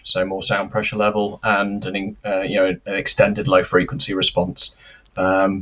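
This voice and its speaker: A man giving careful, explanatory interview answers as a company expert, with measured and hesitant phrasing, over lo-fi live-stream audio. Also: low voice, a bit bright. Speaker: loudspeaker company representative, interview guest.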